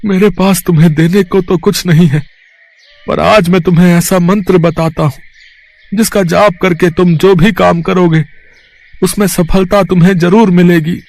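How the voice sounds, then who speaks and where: A man playing a mysterious, kindly beggar sage who speaks in a knowing, deliberate, benevolent way. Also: high voice, steady tone, darkish tone. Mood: grateful, knowing.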